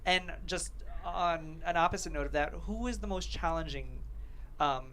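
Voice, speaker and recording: Bright crisp-edged voice, casual panel interviewer, slight background noise. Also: mid-range voice, normal speed.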